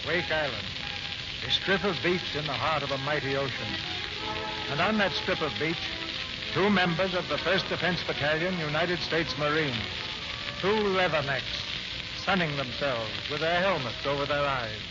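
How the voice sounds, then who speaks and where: A man's voice: a little high, moderate pace, over loud music. A man narrating a 1940s radio drama from a script. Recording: noisy, muffled 1940s radio recording.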